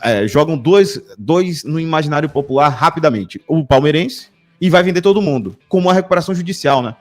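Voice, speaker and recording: A man's voice: a little high, animated, brisk, faint music behind. A man from Bahia, Brazil, hosting a channel and asking long, rambling questions in casual talk. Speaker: channel host. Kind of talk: casual discussion.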